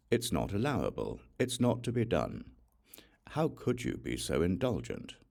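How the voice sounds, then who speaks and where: Man reading as the Buddha, very deep, authoritative. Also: vocal fry, darkish tone, full character voice. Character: the Buddha, authoritative male teacher laying down rules.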